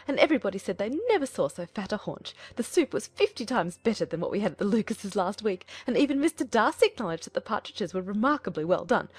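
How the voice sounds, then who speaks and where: A woman voicing a boastful, excitable middle-aged matchmaking mother in a dramatized period novel, gossipy and effusive. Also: mid-range voice, breathy edge, dry recording. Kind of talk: dramatized audiobook dialogue.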